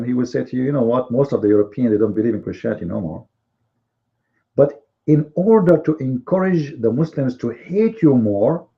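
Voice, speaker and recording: A man whose first language is Arabic, hosting a live online commentary show, with emphatic, repetitive speech and drawn-out stresses. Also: medium pitch, muffled recording.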